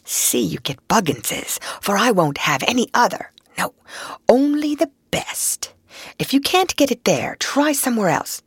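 A hearty, masterful gentleman water rat played by a woman in a storybook. He bustles about as host, giving brisk orders and approval in a raised, swooping voice with little room sound. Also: a little high, very animated, breathy edge, no echo, pitched above the performer's own voice, heavily acted. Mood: muttered, insistent.

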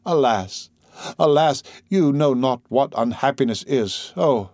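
A man's voice: medium pitch, lively intonation, a little slow, darkish tone, dry recording, studio quality, pitched above the performer's own voice. A man reading the part of an anguished priest desperately pleading his obsessive love, in impassioned, theatrical declamation. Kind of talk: audiobook character reading.

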